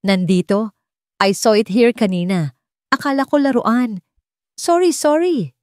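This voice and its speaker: A woman's voice: on the high side, a little slow, a little mellow, no room sound, clean studio sound, pitched above the performer's own voice, natural voice. A woman with a Filipino accent voicing a cold, two-faced, commanding rich wife in an audio love drama. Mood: feigned alarm. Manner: commanding, code-switching into English.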